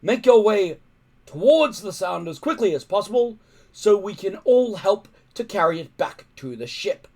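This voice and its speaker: Man acting a loud, commanding Viking chief. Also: high voice, sing-song, moderate pace, voice pushed up, full character voice. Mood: bossy. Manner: commanding, emphatic shouting. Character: booming, bossy Viking chief giving orders.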